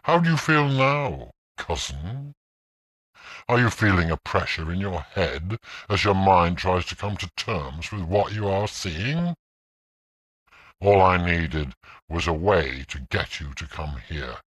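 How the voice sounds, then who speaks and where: A man voicing a vengeful, taunting ghost in a gothic audio play, with slow, menacing, theatrical delivery and a husky voice. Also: sits low, expressive, a bit bright, dry recording, pitched below the performer's own voice, heavily acted. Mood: gloating. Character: vengeful male ghost, theatrical, coldly taunting his cousin.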